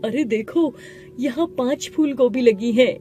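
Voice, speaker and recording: A woman voicing a humble, gentle village husband in an animated moral story, earnest and deferential, with music behind. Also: a little high, unhurried, natural voice. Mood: gentle, reassuring. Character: humble poor husband, adult man, pleading and reassuring. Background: background music.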